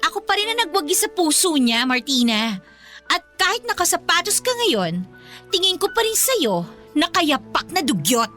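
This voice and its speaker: A woman's voice: extremely high pitch, sing-song, normal speed, sharp tone, faint music behind, heavily acted. A woman acting a spiteful, jealous rich young woman in a radio drama, sneering and taunting as she speaks. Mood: sneering, gloating.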